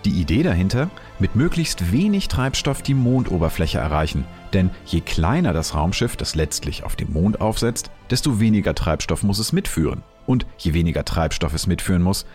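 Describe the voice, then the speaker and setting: A man giving calm, explanatory scripted documentary narration. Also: low-pitched, normal speed, hardly any pauses, faint music behind.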